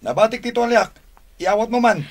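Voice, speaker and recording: A man's voice: sits high, expressive, fairly quick, a little hiss or hum. A man playing a brash, impatient young man in a radio drama, with an Ilocano accent.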